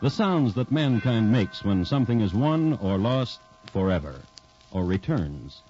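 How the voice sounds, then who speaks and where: Animated, formal man narrating old radio. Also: fairly low pitch, faint music behind. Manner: measured, dramatic pauses, formal. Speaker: host and narrator. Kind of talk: scripted true-crime radio narration, 1950s. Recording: muffled 1950s radio broadcast.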